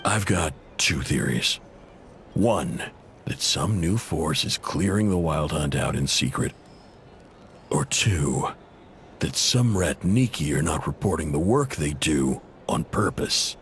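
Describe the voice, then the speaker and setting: A man's voice: very deep voice, animated, slow, a bit bright, a little hiss or hum, heavily acted. A man playing a proud, indebted intel broker in a video game, blunt, casual and guarded, with an exasperated edge. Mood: guarded, informative.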